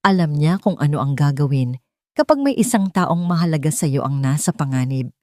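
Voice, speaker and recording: A woman voicing a gentle, affectionate elderly woman in an audiobook, speaking in a calm, reassuring manner. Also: low-pitched, fairly quick, no room sound, studio quality.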